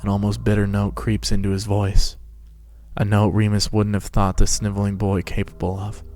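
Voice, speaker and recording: Male narrator, lower register, dramatic scripted storytelling with a music bed. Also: monotone, normal speed, a little mellow. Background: music and sound effects.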